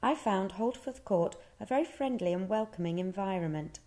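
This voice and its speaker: A woman reading scripted documentary narration in a calm, measured way. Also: medium pitch. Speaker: narrator and museum project worker.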